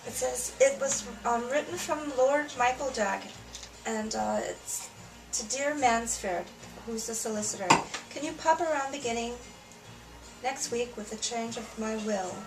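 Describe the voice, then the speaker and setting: A woman's voice: on the high side, unhurried. A woman who is not a native English speaker, chatting and reading aloud hesitantly at a dinner party game. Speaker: party guest in a murder mystery game. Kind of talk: casual chat, reading a game script aloud. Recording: echoey room, far from mic, loud music.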